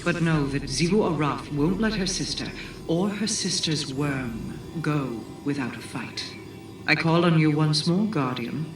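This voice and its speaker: A woman's voice: low-pitched, measured pace, echoey room, far from the mic. A woman playing a regal, commanding queen in a fantasy video game, speaking in a solemn and formal way. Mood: grave. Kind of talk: video game cutscene acting. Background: music.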